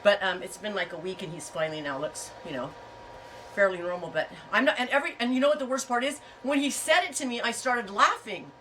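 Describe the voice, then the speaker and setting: A woman's voice: mid-range voice, fairly quick, fairly bright tone, far from the mic, slight background noise, poor audio. Chatty woman with a head cold. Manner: chatty, rambling, laughs often. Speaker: yarn and fiber farm vlogger. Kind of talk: casual storytelling to viewers. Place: home on a farm.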